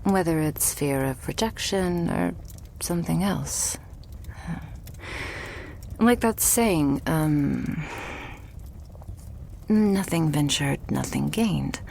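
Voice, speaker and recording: A woman playing a loving, stubborn vampire girlfriend in an intimate ASMR roleplay, speaking softly and breathily, often in whispers, in a tender, coaxing way. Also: lowish voice, expressive, vocal fry, slight background noise, full character voice. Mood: tender, reassuring. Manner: slow, tender, coaxing, lightly teasing.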